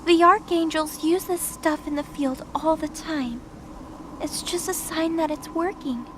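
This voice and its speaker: A woman playing a protective, steadfast angelic medic in an audio drama, speaking in a measured way. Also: high voice, fairly bright tone, slight background noise. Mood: tender, reassuring.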